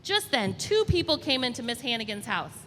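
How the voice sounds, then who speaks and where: A woman storyteller reading a children's storybook aloud in an expressive, deliberate way. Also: on the high side. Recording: reverberant hall, faint background noise.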